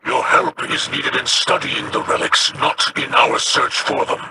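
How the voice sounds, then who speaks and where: An actor voicing a stern, dignified male alien elder in a video game, measured and formal. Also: noisy background, close to an everyday voice. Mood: grave.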